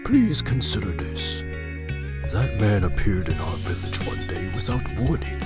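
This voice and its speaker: A man voicing a dignified, fair-minded village head in a cartoon fandub, formal, measured and courteous. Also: fairly low pitch, very animated, over loud music. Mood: earnest, pleading. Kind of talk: cartoon comic fandub acting.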